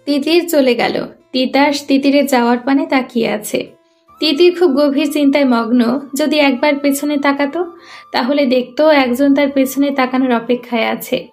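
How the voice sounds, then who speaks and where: High-pitched woman narrating a story. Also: steady tone, moderate pace, a little mellow, faint music behind.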